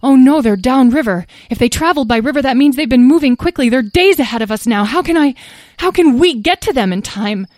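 Woman playing a young stable boy, higher voice, stammery, close dry recording. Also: lively intonation, moderate pace, darkish tone, no room sound, natural voice. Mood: alarmed. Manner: tearful, stammering. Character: earnest young stable boy, kind-hearted and self-doubting. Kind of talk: storybook character voice.

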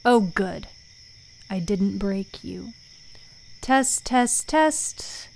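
Slow-paced woman podcaster, informal. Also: medium pitch, lively intonation, gravelly, darkish tone, slight background noise. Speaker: host and author of a fiction podcast. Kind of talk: casual podcast talk and recording outtakes.

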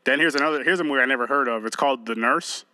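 A man with a bright, forceful voice recorded close and dry, co-hosting a podcast in loose, joking banter. He talks casually and swears freely. He moves between lists and anecdotes in a relaxed, profane way. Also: medium pitch, racing, dry recording, rough recording. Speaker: podcast co-host. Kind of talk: casual chat.

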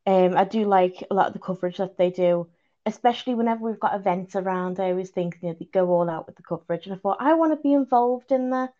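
A chatty young woman with a Liverpool accent talks casually as a podcast guest. She is self-deprecating and laughs easily, her voice sitting at a middling pitch. The recording sounds dull and slightly lo-fi. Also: quick pace, mellow. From Liverpool, England. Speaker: podcast guest, video journalist. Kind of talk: casual chat.

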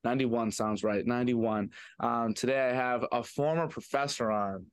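A man with a medium-pitched voice hosts a casual podcast in a dry, close recording. He talks informally and earnestly, with open admiration as he sets up questions. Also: moderate pace, clean tone, dry recording. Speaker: podcast host, former student. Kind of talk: casual interview chat.

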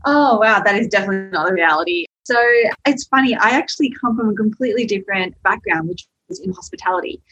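A woman's voice: medium pitch, fast talker, a bit bright, breathy edge, lo-fi sound. A young Australian woman with an Australian accent, telling her story as a podcast guest in a chatty way, laughing often. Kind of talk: podcast interview.

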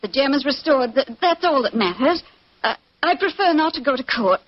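Aristocratic lady, high-pitched, formal and earnest, vintage radio mystery with dulled sound. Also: lively intonation, moderate pace, dry recording. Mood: cool, haughty. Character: genteel, distressed English society lady. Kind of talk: 1940s radio mystery acting. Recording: muffled 1940s radio broadcast.

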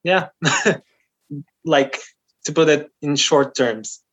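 A man's voice: a little high, extremely slow, a bit bright, slightly rough. A man in his twenties from North Macedonia whose first language is Albanian, telling a story as a podcast guest with many fillers and restarts. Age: 20s. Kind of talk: casual interview. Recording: muffled lo-fi audio.

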